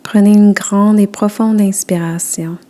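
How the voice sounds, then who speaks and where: Female relaxation guide, warm mellow tone, gentle soothing delivery, very unhurried. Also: medium pitch, fairly even intonation. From Quebec. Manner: slow, soft, soothing instructions. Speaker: yoga nidra guide.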